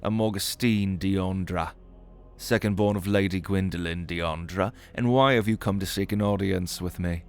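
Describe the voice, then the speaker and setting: A man's voice: low voice, fairly even intonation, normal speed, faint music behind. A man playing a cold, haughty young nobleman who leads an academy society, speaking formally and with disdain in a fantasy audio roleplay. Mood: cold, imperious.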